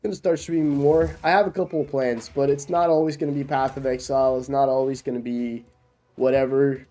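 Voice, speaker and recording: A man's voice: mid-range voice, steady tone, brisk, warm tone, faint music behind. A young man from Norway who is sick with a cough, chatting loosely with viewers while streaming a game, with lots of fillers and restarts. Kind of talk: casual chat with stream viewers while gaming.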